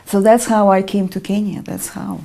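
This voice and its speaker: A middle-aged woman from Russia with a Russian accent, answering interview questions in a measured way with frequent ums. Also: mid-range voice, flat delivery, gravelly, mellow, a little hiss or hum, rough recording. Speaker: interview guest, artist and educator.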